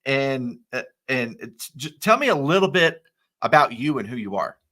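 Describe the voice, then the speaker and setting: A man hosting a podcast for tradespeople. He introduces the show and asks earnest questions with some halting restarts. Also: mid-range voice, very animated, fairly bright tone. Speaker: podcast host. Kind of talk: podcast intro and casual interview.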